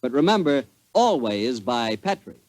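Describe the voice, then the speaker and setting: A man's voice: medium pitch, very animated, unhurried. An American man announcing in 1940s radio style, with a folksy, emphatic salesman's delivery. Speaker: radio announcer and sponsor's pitchman.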